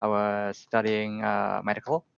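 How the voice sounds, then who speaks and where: A man in his thirties from Indonesia, a guest photographer talking casually on a podcast, relaxed and joking, with lots of fillers. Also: lowish voice, unhurried, vocal fry, clean tone. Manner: relaxed, jokey, frequent fillers. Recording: slightly dull lo-fi audio.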